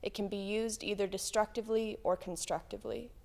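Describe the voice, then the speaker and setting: A woman's voice: mid-range voice, steady tone, a little fast, creaky, a little mellow. A woman, a church member, reading quotations aloud in a solemn, scripted way. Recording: long hall reverb.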